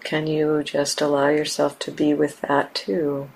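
Slow, even-toned woman guiding meditation. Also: sits low, gravelly, rough recording. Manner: gentle, unhurried, with long pauses. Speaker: meditation teacher. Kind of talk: guided meditation. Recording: steady background hiss and room ambience.

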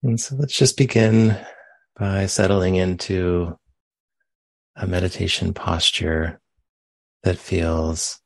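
A man leading a guided meditation as a teacher, speaking gently and unhurriedly with long pauses. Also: deep voice, steady tone, gravelly, no room sound.

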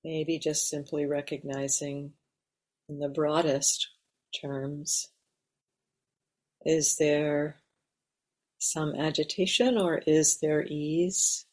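A woman leading a guided meditation in a gentle, measured way, leaving long pauses. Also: low voice, steady tone. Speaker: meditation teacher. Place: meditation center.

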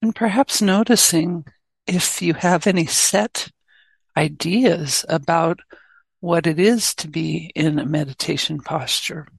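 A woman leading a guided meditation as a teacher, speaking gently in short phrases with long pauses between them. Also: lowish voice, gravelly, breathy edge, no echo, rough recording.

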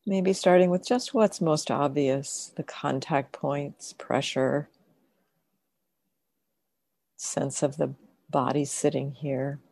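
A woman meditation teacher guiding a meditation gently and unhurriedly, in short phrases with long pauses. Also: sits low, fairly even intonation, creaky, no room sound. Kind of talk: guided meditation.

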